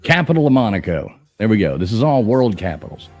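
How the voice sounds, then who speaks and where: A man's voice: on the low side, big pitch swings, brisk, a little mellow, soft background music. A man co-hosting a live-stream trivia night, chatting casually and greeting viewers by name. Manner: laid-back, greets chat by name. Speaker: stream co-host.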